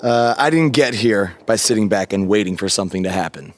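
An actor playing a cocky, sarcastic, defiant young wrestling newcomer in a video game. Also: male voice, on the low side, lively intonation, a little fast, natural voice. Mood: confident. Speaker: rookie wrestler. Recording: roomy echo, faint noise.

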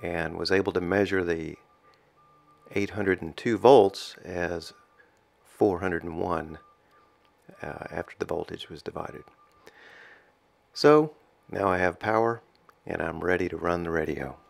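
Low gravelly-voiced man narrating. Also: unhurried, long pauses. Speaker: amateur radio hobbyist narrating own restoration. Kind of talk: video voice-over narration.

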